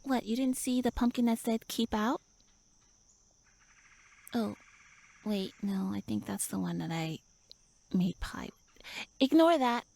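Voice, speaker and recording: High-pitched pouty tiny elf. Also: female voice, animated, takes it slowly, vocal fry, pauses often, dark tone, full character voice. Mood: huffy, defensive. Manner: flustered, indignant, huffy, stammering. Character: tiny pouty tsundere elf woman with little wings. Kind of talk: roleplay audio drama addressed to the listener.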